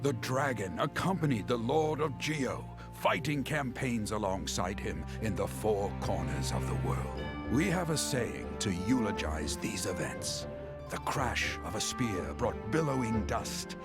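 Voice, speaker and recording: An actor playing a storyteller who recounts legends in a theatrical, sweeping manner. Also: male voice, on the low side, sing-song, unhurried, gravelly, full character voice. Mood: dramatic. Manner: theatrical, rising and falling storytelling. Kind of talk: game voice acting. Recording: game audio over loud music.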